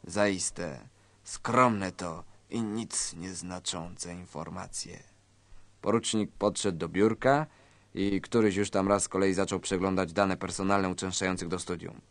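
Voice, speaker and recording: A man's voice: fairly low pitch, no room sound. Dry-sounding male story narrator. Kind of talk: scripted dramatic narration of a satirical story.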